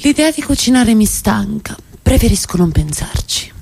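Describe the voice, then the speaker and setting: A woman's voice: fairly high pitch, very animated, moderate pace, gravelly, a little mellow, husky, heavily acted. A woman in a radio play acting a provocative middle-class wife who talks in an offhand, deflecting way. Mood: cool, needling. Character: evasive, provocative middle-class wife, cool then pleading.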